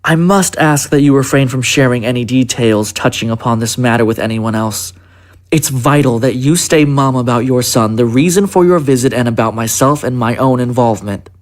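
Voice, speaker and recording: A man's voice: medium pitch, normal speed, lightly acted. Man playing a formal, courteous government aide. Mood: courteous, assured. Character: polite, secretive presidential chief of staff. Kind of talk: audio drama dialogue.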